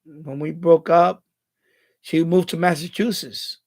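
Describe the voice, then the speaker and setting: A man's voice: a little high, steady tone, measured pace, darkish tone. A man with a Bronx New York accent, hosting a live stream and chatting casually while he reads viewer comments aloud, rambling and restarting his words. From born in Puerto Rico, raised in the Bronx.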